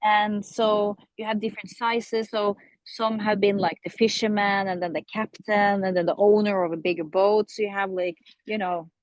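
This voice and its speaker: A middle-aged woman from Norway with a Norwegian accent, a native Norwegian speaker chatting casually on a video call and often pausing to search for English words. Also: medium pitch, level tone, dry recording, low-quality recording. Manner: halting, searching for English words with many fillers. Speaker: caller in an online office-hours session.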